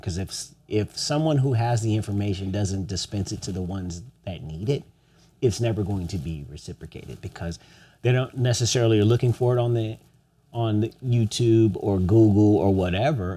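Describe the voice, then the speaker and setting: Low, mellow-voiced man podcasting. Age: young adult. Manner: earnest, preachy, rhetorical questions. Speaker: podcast co-host. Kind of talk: casual podcast conversation.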